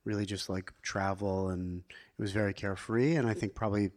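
Low-voiced middle-aged American man. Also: fairly even intonation, brisk, a little mellow. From Pittsburgh, United States, partly Israel. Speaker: interview guest, chef. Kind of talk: casual conversational storytelling.

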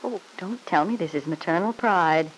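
A woman's voice: fairly low pitch, moderate pace, a little hiss or hum, lightly acted, poor audio. An actress playing a smug, needling married woman in an old-time radio soap opera, with an arch, mock-sweet, taunting manner.

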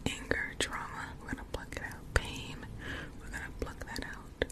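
A woman whispering softly and soothingly in ASMR style, repeating gentle phrases close to the microphone. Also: moderate pace. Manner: soft, soothing, repetitive whisper. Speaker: ASMR livestream host.

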